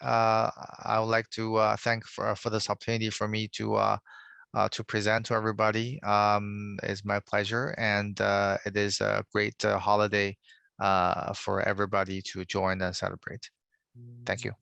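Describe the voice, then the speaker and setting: A man giving a slide presentation over a video call, halting with many fillers. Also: fairly low pitch, completely flat delivery, gravelly, a bit bright, smooth, no echo. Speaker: guest presenter. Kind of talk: slide presentation on holiday traditions.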